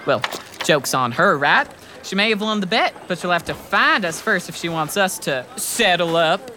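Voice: mid-range voice, expressive, moderate pace, pushed and bright, slight background noise. An actor voicing a cynical male sidekick in a con game, with a laconic drawl. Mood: sly.

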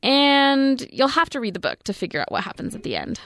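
A woman's voice: high-pitched, big pitch swings, a little fast, fairly bright tone, no room sound. A young woman student presenting her book review in a small, dry college radio studio. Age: 20s.

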